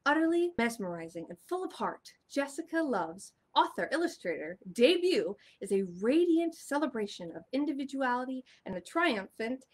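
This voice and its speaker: A young woman hosting a children's storytime, speaking expressively and enunciating for little listeners. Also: fairly high pitch. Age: young adult. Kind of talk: animated read-aloud for young children. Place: small furnished room indoors.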